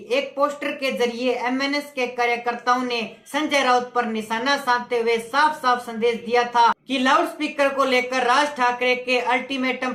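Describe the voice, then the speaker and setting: A woman's voice: a little high, a bit bright. Woman newsreader in an echoey room. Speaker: news presenter. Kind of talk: scripted political news narration.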